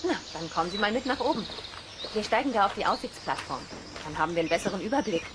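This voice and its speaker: Woman playing friendly wolf keeper lady in a kids radio play, sure of herself, brisk, birds and outdoor noise behind her. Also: medium pitch, lively intonation, lightly acted. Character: friendly, confident woman who looks after wolves. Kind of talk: children's radio play dialogue. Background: outdoor nature sounds, birds, animals.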